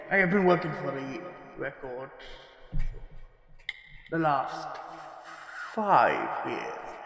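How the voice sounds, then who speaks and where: Man playing a slow, scornful archivist in echoing room. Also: fairly high pitch, a bit bright, heavily acted. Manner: dismissive, halting, sweary. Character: jaded, scornful male archivist recording case statements. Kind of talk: parody audio drama monologue, mock-formal narration. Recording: echoey room with long reverb.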